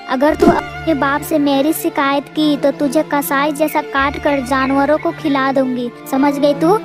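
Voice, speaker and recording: A woman voicing a cruel, bossy stepmother bulbul in a cartoon story, scolding and threatening. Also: sits high. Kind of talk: cartoon story character acting.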